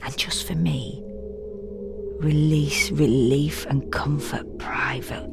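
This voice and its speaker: A woman playing an exhausted, fretful woman who narrates a confessional audio drama monologue in an intimate, wry, deliberate way. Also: low-pitched, fairly even intonation, gravelly, whispered, over music, exaggerated voice. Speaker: narrator and actor.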